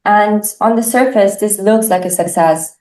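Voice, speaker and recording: A teenage girl from Germany, a native German speaker, presenting survey results with frequent pauses. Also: medium pitch, a little mellow, reverberant. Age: teens. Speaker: student presenter. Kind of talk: class presentation.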